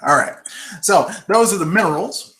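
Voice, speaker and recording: A man in his forties hosts a live webinar from his computer mic. He answers viewer questions in a loose, chatty way and keeps tagging "okay?" onto his points. His voice sits a bit high and sounds sharp and forceful. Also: lively intonation, normal speed. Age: 40s. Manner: chatty, peppers sentences with "okay?" and "all right?". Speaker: host of a live health webinar. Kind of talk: casual live-stream Q&A. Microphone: computer microphone.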